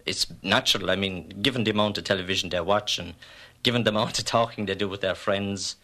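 Irish dad, lowish bright voice, thinks it through, lots of uhs. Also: male voice. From West Limerick, Ireland. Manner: measured, thoughtful, with frequent uhs. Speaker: father interviewed at home. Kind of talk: radio documentary interview.

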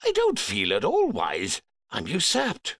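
A man doing a shrill fussy old sage. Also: wildly swooping pitch, slow pace, a bit bright, dry recording, clean studio sound, heavily acted. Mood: grumbling. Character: greedy old male sage, demanding.

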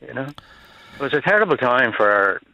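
An older man from Dublin with an Irish accent, calling in to a radio show and telling his story in a halting, plain-spoken way over a muffled phone line. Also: mid-range voice, sing-song, vocal fry, bright, forceful tone, no echo. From Dublin, Ireland. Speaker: caller. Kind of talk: phone-in call.

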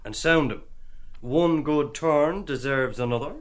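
A man's voice: fairly high pitch, measured pace, voice pushed up, lightly acted. Man doing a breezy, lightish-voiced man-about-town. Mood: breezy, confiding. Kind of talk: acted audiobook dialogue.

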